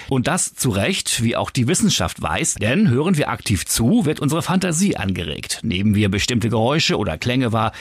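A man's voice: medium pitch, husky, dry recording, clean studio sound. A man hosting a podcast and reporting in scripted narration.

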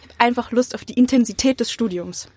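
A woman's voice: on the high side, fast talker, darkish tone, breathy edge, no echo. A young woman answering interview questions in a casual, spontaneous way. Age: young adult. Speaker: interviewee, incoming acting student.

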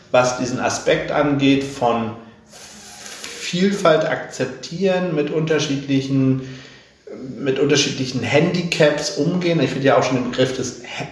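A man's voice: mid-range voice, heavy reverb. A man giving thoughtful, earnest interview answers. He stutters now and then, repeating words and breaking off sounds, with many fillers. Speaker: guest.

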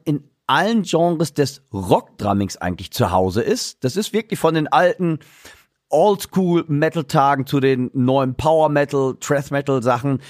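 A man's voice: mid-range voice, dry recording, clean studio sound. A man hosting a casual podcast interview, chatty and loose, with many fillers and restarts. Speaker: podcast host and drummer.

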